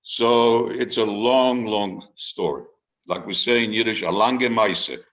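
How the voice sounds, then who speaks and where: An elderly man in his eighties with a low voice, heard over dull, lo-fi video call audio. He is a retired rabbi from Israel who talks informally about his life, deliberately and with dramatic pauses and repetition. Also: a bit bright. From Israel, born in Haifa under British Mandate Palestine. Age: 80s. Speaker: retired rabbi and author, guest speaker. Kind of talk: informal autobiographical talk to an online group. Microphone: video call microphone.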